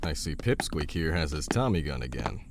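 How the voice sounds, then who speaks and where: A man playing a gruff, bossy, battle-scarred survivalist in an audio drama, blunt, teasing and swearing. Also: a bass voice, very animated, normal speed, heavy background noise, full character voice. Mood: cocky, teasing.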